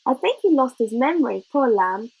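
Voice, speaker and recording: A woman voicing a kindly, protective foster mother in a storybook, with a gentle, apologetic manner. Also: fairly high pitch, lively intonation, moderate pace, vocal fry, slightly rough, exaggerated voice, rough recording.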